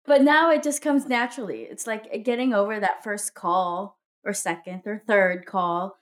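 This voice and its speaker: A woman's voice: mid-range voice, moderate pace. A woman interviewing a guest in casual chat, friendly, laughing often and trailing off mid-sentence.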